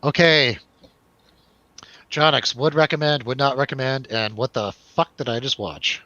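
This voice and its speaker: Bright, punchy male review host. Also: medium pitch, measured pace, dry recording, dull audio. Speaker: host of a movie review show. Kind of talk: casual, joking review chat.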